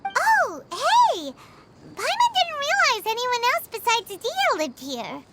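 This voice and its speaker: A woman's voice: very high-pitched, huge pitch swings, slow, very noisy, heavily acted. A woman voicing a tiny, chatty, childlike fairy companion in a video game. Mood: surprised. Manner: refers to herself in the third person.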